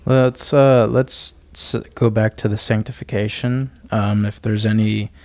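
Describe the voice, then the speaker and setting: A man's voice: on the low side, steady tone, unhurried, a little mellow, dry recording. A young man co-hosting a podcast, speaking in a casual, conversational way about faith. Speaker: podcast co-host.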